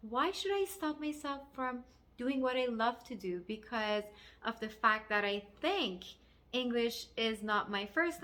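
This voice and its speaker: High-voiced Persian-accented woman giving encouragement. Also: bright, forceful tone. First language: Persian (Farsi). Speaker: life coach and video host. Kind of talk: motivational talk to camera.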